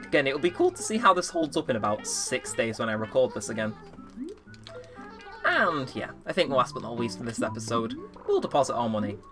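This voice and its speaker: A man's voice: mid-range voice, big pitch swings, fairly quick. An eighteen-year-old man chatting casually through a let's play, rambling and poking fun at himself. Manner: rambling, self-mocking, chatty. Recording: game music playing under the voice. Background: game music.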